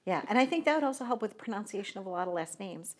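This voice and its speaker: New lady talk show host, husky voice, chatty and excited, keeps saying right?. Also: medium pitch, quick pace. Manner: enthusiastic, talkative, frequent "right?" asides. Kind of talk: friendly interview chat.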